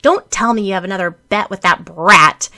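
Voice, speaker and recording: A woman's voice: medium pitch, moderate pace, bright, forceful tone, no room sound, natural voice. A woman voicing a small, brash, encouraging creature friend who exclaims bluntly in an audiobook story. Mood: exclaiming.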